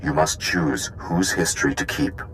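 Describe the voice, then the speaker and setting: An actor playing an ancient, dying machine gatekeeper in a video game, solemn and impassive, speaking in formal, clipped reports. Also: male voice, a bass voice, normal speed, a little hiss or hum, rough recording.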